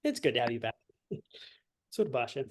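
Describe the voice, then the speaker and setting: A male language instructor leading an online class over a video call, talking in a relaxed, halting way with many fillers. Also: medium pitch.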